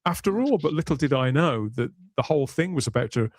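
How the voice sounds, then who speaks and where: A middle-aged man speaks as a podcast host in a conversational, reflective manner. His pitch sits in the middle, and he takes time to explain his points. The sound is dry, with little room to it. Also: animated, a little fast, no echo.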